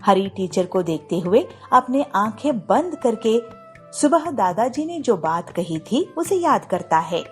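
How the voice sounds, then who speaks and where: A woman's voice: mid-range voice, very animated, unhurried. A woman narrating a scripted moral story as a storyteller. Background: faint music.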